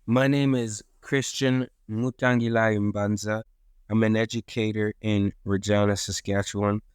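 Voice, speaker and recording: A man from South Africa, an educator and podcast co-host, speaking in an earnest, measured way. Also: on the low side, flat delivery, clear, resonant tone, no echo. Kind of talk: reflective podcast monologue.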